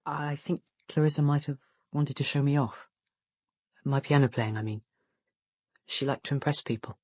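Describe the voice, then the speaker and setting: A man's voice: medium pitch, normal speed, darkish tone, no echo, natural voice. Hesitant, polite schoolboy, acted by a man, close and dry. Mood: earnest, deferential. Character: polite teenage schoolboy, orphaned, earnest and unsure. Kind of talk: radio play acting.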